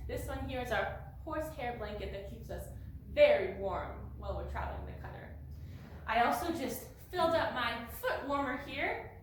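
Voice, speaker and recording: Casting: woman as a bright-voiced, folksy 1890s farm girl, high pitch, heard from a distance in a roomy barn. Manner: folksy, chatty, addresses listeners as folks, frequent sighs. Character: chatty young pioneer girl from 1890 farm family. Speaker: museum costumed interpreter. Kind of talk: living-history monologue to the camera. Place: large barn. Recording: echoey, distant mic, faint noise.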